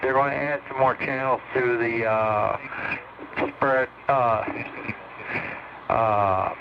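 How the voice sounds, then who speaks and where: A man's voice: mid-range voice, fairly even intonation, takes it slowly, gravelly. A man chatting casually as an operator over a CB radio. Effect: CB radio transmission. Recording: muffled, noisy CB radio reception.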